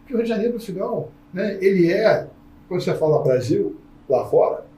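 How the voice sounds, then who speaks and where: A man hosting a casual podcast conversation, a lively storyteller who acts out the people in his anecdotes. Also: high-pitched, fairly quick. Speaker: podcast host. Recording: roomy sound from a distant mic.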